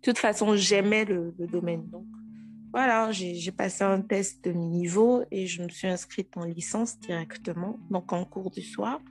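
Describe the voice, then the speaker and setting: A woman's voice: medium pitch, normal speed, faint music behind. A woman in her thirties with a Togolese French accent, a guest telling her own story frankly and with animation. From Togo. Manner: frank and animated, with frequent restarts. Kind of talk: casual interview.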